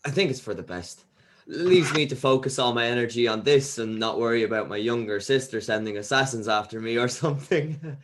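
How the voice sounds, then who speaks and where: A man reads the part of an earnest young musician with a mid-pitched male voice. He speaks haltingly and plainly, a little apologetic. It sounds like a natural table read of a screen comedy. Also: natural voice. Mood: casual, resigned. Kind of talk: table read of a pilot script.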